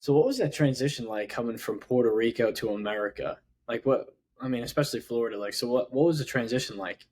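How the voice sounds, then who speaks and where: A man's voice: medium pitch, fast, hardly any pauses, darkish tone. A man hosting a podcast, asking loose, conversational questions with plenty of fillers. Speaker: podcast host. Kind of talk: casual interview.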